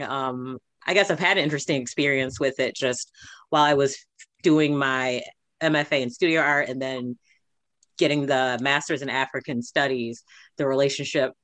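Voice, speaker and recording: A woman's voice: rumbling low, steady tone, normal speed, fairly bright tone, dry recording. A woman co-hosting a podcast, asking a guest a long, thoughtful question in a chatty way. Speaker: podcast co-host. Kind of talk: casual interview questions.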